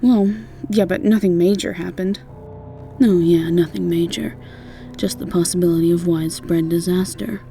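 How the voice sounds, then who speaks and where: A woman acts out a wry woman from a fantasy audio drama set at an inn. She is casual, dryly teasing and reassuring, in a slightly low female voice, with music underneath. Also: fairly even intonation, normal speed, vocal fry, dark tone. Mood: dry, downplaying. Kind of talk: fantasy audio roleplay character acting.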